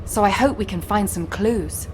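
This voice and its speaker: A woman's voice: mid-range voice, normal speed, a little mellow, slight background noise, close to an everyday voice, poor audio. A woman playing an earnest, playfully teasing young operations officer in a sci-fi video game, speaking articulately and expressively. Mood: focused, professional.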